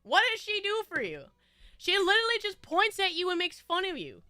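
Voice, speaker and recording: High bubbly giggly woman streamer. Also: wildly swooping pitch, fairly quick, bright, forceful tone, dry recording, clean studio sound, faint music behind. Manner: bubbly, rambling, frequent giggles. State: stuffy nose. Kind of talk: casual chat with viewers.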